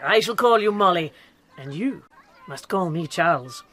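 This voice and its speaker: Casting: smooth-talking Georgian gentleman doctor, formal and flirtatious, radio drama. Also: fairly low pitch, expressive, moderate pace. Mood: courtly, flirtatious.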